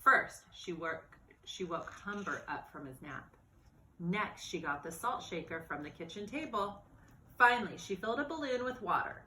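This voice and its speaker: A woman reading a children's storybook aloud in an expressive, animated narrating style. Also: on the low side, normal speed, slight background noise. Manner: expressive, acts out dialogue and exclamations. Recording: roomy, echoey sound.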